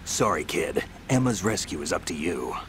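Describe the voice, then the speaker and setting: An actor playing a gruff, terse veteran soldier who gives orders in a video game cutscene. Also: male voice, low voice, expressive, moderate pace, fairly bright tone, a little hiss or hum. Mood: matter-of-fact. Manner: terse, blunt, no-nonsense.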